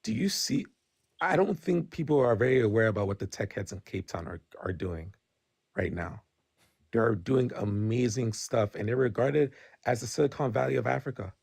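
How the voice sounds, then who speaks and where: A man's voice: medium pitch, gravelly, darkish tone, husky, dry recording. A Nigerian-born man, talking bluntly in a casual podcast debate and tagging his points with "right?". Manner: candid, blunt, frequent restarts and "right?" tags.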